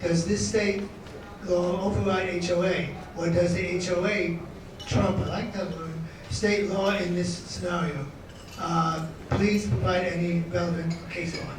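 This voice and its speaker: A man moderating a town meeting, reading questions aloud in a halting, hesitant way. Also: high voice, little pitch movement. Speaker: forum moderator. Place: meeting room. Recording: very echoey room, far from mic, noisy.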